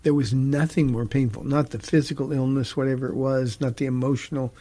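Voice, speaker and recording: A middle-aged man, a pastor hosting a talk show, teaching from the Bible in an earnest, halting way with frequent restarts. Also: medium pitch, fairly even intonation, warm tone.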